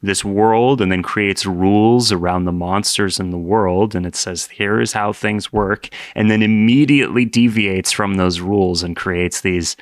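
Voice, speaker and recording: A man with a low-pitched voice gives casual reaction commentary. He is playful and joking, teasing his viewers in mock scolding. The sound is dry, with very little room in it. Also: a little slow, hardly any pauses, dry recording. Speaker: host reacting to horror videos.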